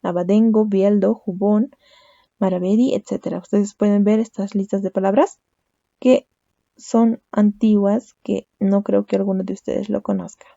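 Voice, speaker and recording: Gravelly, dark-voiced woman teaching. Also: mid-range voice, little pitch movement, normal speed, no room sound, highs cut off. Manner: explains step by step, ends phrases with a questioning "¿no?". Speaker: language teacher. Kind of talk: recorded lecture.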